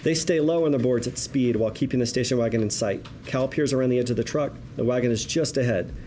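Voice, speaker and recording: A man narrates a screenplay's action in scripted present tense at a table read. His medium-pitched delivery is vivid and wry, with some noise in the room. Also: fast talker, mellow. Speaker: stage directions reader.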